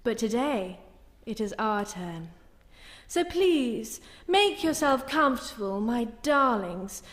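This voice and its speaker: A woman's voice: a little high, very animated, takes it slowly. A woman playing a bewitching horror hostess, narrating a live radio show in a grandiose, gushing, theatrical way. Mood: theatrical, inviting. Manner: grandiose, gushing, relishing the gruesome. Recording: live in echoey hall, long reverb.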